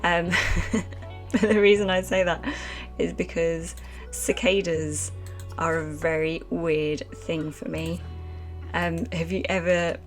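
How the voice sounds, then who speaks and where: A woman's voice: on the low side, little pitch movement, slow, soft background music. A woman streaming a game live, chatting casually and rambling with lots of fillers at a desk microphone. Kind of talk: casual let's-play commentary chatting with chat.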